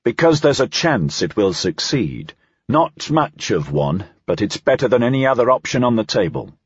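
A man's voice: mid-range voice, sing-song, normal speed, slightly rough, no room sound, lo-fi sound. A man voicing a weary, stern senior prefect in an audiobook, speaking in a terse, dry, formal way. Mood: grimly resolved. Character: weary, stern male senior police prefect, dryly sardonic. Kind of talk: audiobook character dialogue.

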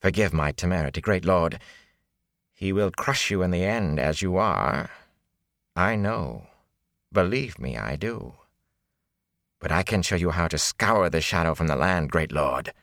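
A man voicing a filthy, cringing male beggar who whines and then turns smooth, unctuous and haughty. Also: deep voice, animated, a little slow, long pauses, fairly bright tone, no room sound, studio quality, heavily acted. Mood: oily.

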